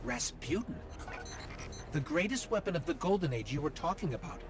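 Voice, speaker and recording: Earnest male companion, slightly raised pitch, very animated. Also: normal speed. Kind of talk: video game dialogue.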